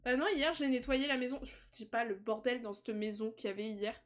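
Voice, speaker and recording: A young woman giving casual live-stream commentary while playing a life-simulation game, chatty, laughing often and breaking into excited squeals. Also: on the high side, a little fast.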